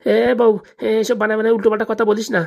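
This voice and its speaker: Casting: man to play exasperated, henpecked husband, comic cartoon, quick plaintive protests. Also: high-pitched, monotone. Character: henpecked, exasperated husband, a small-time village politician.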